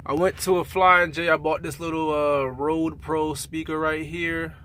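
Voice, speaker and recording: A man's voice: on the high side, flat delivery, measured pace, fairly bright tone. A man talking casually and colloquially, like a truck driver giving a rambling, conversational gear review in a vlog.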